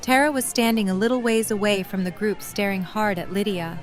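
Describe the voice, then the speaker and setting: A woman's voice: medium pitch, steady tone, brisk, faint music behind. A woman narrating a scripted story for an audio drama.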